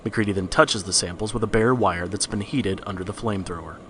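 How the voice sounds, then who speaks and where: A man's voice: low-pitched, fast, a bit bright, a little hiss or hum. A man narrating a scripted horror story in the style of a read-along storybook record.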